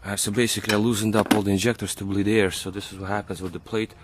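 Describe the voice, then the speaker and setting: Male home mechanic, low register, casual running commentary over a noisy outdoor camera recording. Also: little pitch movement, rapid-fire. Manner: talks to himself while working, short muttered remarks. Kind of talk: hands-on repair walkthrough. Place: outdoors at a car's engine bay.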